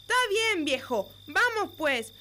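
Girl playing a tired mexican farm wife, super high sharp voice, country talk, radionovela. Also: sing-song, takes it slowly, slight background noise, heavily acted. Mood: weary, resigned. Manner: rustic folksy speech. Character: tired, pregnant peasant wife, humble and complaining. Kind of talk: amateur student radionovela acting.

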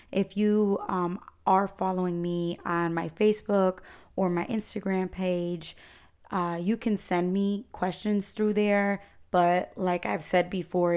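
Slow-talking woman, slightly raspy. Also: fairly low pitch. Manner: chatty, encouraging, advice-giving. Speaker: podcast host. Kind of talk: solo podcast, answering listener questions.

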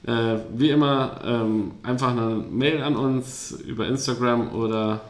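A man's voice: mid-range voice, steady tone, unhurried, reverberant. A man co-hosting a podcast, chatting casually and rambling with many fillers and restarts. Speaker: podcast co-host. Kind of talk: casual chat.

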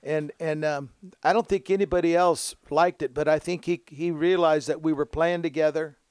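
A man's voice: a little high, steady tone, normal speed, darkish tone, clear, resonant tone, no echo. A man in his seventies, a musician casually recalling his younger days in an interview. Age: 70s. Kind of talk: casual reminiscing.

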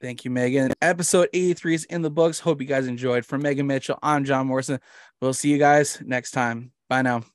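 A man's voice: mid-range voice, fairly even intonation, brisk, dry recording. A man with a Canadian accent hosting a podcast in casual chat, rambling, jokey and self-deprecating. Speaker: podcast host.

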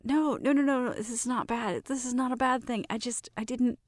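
A woman's voice: on the high side, fairly even intonation, a little fast, dry recording, studio quality, close to an everyday voice. A woman playing a shy, smitten young roommate in an intimate roleplay, nervous and stammering as she talks to the listener. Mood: flustered, hopeful. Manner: nervous, stammering, giggly, self-interrupting.

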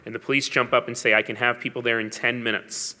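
A man's voice: mid-range voice, level tone, quick pace, sharp tone. A man giving a public speech as a mayor, telling stories with wry asides and emphasis. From Canada. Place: large hall. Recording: long hall reverb.

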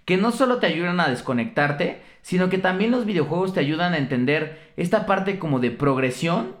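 A man's voice: sits high, lively intonation. Joking, profane Mexican man in echoey room. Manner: profane, joking, quick to laugh. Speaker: podcast co-host. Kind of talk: casual crude banter.

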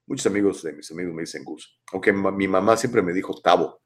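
A man's voice: deep voice, fast talker. A man, hosting a live show and commenting on viewer messages with emphatic rhetorical questions. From Mexico. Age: 50s. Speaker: talk show host.

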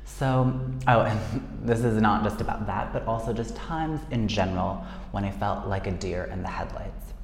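A man's voice: fairly low pitch, moderate pace, gravelly. A man hosting a casual solo podcast, chatty and self-deprecating, often laughing. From United States. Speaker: podcast host. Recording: echoey room with long reverb and faint noise.

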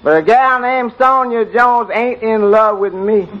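A man playing a sheepish, folksy, lovesick young waiter in a 1940s radio comedy. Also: high-pitched. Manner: slow, sheepish, chuckling, folksy. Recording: muffled, noisy 1940s radio, loud studio audience.

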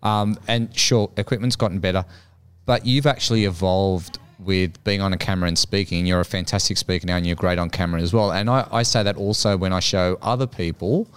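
A man's voice: low-pitched, fairly even intonation, fairly quick, clear, resonant tone. A man hosting a podcast, chatting in an encouraging way and often backing up his guest. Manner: encouraging, frequent backchannel. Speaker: podcast host. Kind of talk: casual podcast conversation.

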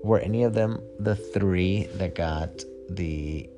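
A man's voice: low voice, takes it slowly. A middle-aged man chatting casually as a podcast host, teasing and probing with short, playful questions. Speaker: podcast host, father interviewing his child. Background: music clearly audible.

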